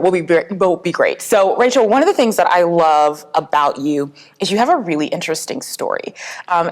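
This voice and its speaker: A woman hosting a podcast, talking in an enthusiastic, friendly way and laughing often as she introduces and questions her guest. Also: lowish voice. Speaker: podcast host. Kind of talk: casual interview conversation. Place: Pensacola, Florida.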